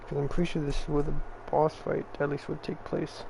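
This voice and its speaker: Clear background noise surrounds a man casually commenting on his game. His voice is slightly high for a man, with a creaky fry. The delivery stays very flat and offhand. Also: fairly quick. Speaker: gamer, streamer.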